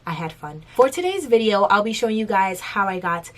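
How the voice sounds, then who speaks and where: A young woman with a raspy, hoarse voice after losing it, chatting casually through a makeup tutorial and giggling now and then. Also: mid-range voice, big pitch swings, fairly quick. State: hoarse, lost voice. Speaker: beauty vlogger.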